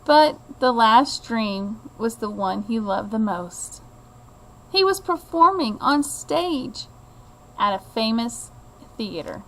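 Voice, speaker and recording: Slow, higher-voiced woman reading a storybook. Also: a little hiss or hum, poor audio. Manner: slow, expressive, deliberate reading to a child. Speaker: teacher reading a picture book aloud. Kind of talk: children's storybook read-aloud.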